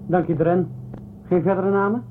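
An actor playing a sinister, mock-courteous, condescending captain of an underground city in a radio drama. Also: male voice, sits high, steady tone, normal speed, lightly acted. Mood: dry, probing. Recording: thin phone-like band, loud noise.

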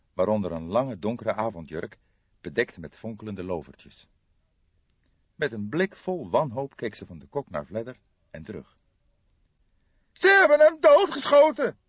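A man's voice: on the low side, big pitch swings, moderate pace, slightly rough, dry recording, lo-fi sound. A man narrating an audiobook in a dramatic, scripted style.

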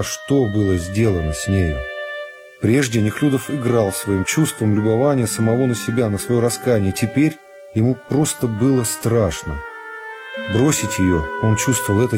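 A man reading scripted literary narration as the storyteller of a radio drama. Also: lowish voice.

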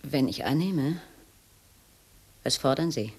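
Defiant housewife, husky low voice, tense, sharp commands, breathy hesitation, radio thriller acting. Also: female voice, expressive, takes it slowly, full character voice. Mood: wary, wavering. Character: tense, defiant housewife holding an intruder at gunpoint. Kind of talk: 1960s German radio crime drama acting.

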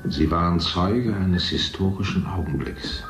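An actor playing an older man who rules a future city, lecturing in a smooth, condescending way. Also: sits low, measured pace, reverberant, music in the background. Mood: proud. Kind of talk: 1970s radio play acting.

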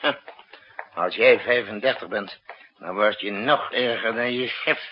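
An actor playing a gruff, suspicious male president with an authoritative, muttering manner in a 1960s radio drama. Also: fairly low pitch, unhurried, a little hiss or hum, close to an everyday voice. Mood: gruff, wary. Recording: muffled 1960s radio recording.

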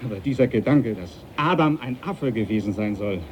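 An actor playing a stubborn, pious, hot-tempered ship's captain in a radio drama, blustering and emphatic. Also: male voice, mid-range voice, lively intonation, moderate pace, lo-fi sound, slight background noise, close to an everyday voice. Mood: indignant. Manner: blustering, emphatic, short exclamations.

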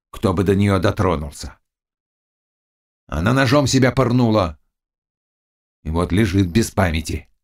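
A man's voice: medium pitch, gravelly, pitched above the performer's own voice. A man voicing a fierce, lovesick Cossack chieftain in an audiobook, shifting between brooding mutters and anguished cries. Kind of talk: audiobook character dialogue.

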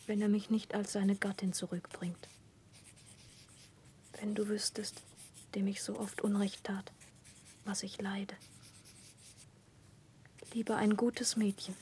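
An actress playing a tender, remorseful young woman speaking a farewell letter in a 1960s radio play, hushed, breathy and solemn. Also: mid-range voice, natural voice. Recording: muffled, clearly noisy old radio recording.

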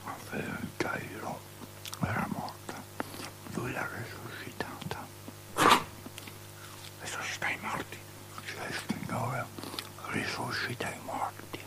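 An actor playing a confused, earnest religious man in a theatrical radio drama, speaking in a breathy whisper. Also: medium pitch, steady tone, takes it slowly, long pauses, rough recording. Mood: insistent. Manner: self-contradicting, earnest, gossiping. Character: confused, insistent religious man arguing about miracles. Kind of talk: avant-garde theatrical radio drama acting.

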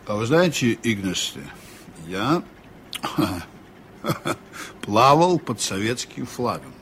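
An actor playing a seasoned, honest sea captain in a reflective, measured way, in a 1980s Soviet radio drama. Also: male voice, fairly low pitch, big pitch swings, fairly bright tone, heavily acted. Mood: reminiscing. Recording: noisy old radio play recording.

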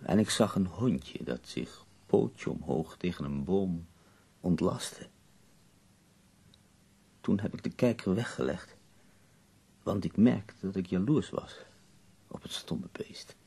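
An actor playing an ailing, melancholy but wry poet in a radio play, his voice gravelly, husky and weary. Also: sits low, expressive, takes it slowly, pauses often, mellow, heavily acted. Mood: wry, resigned.

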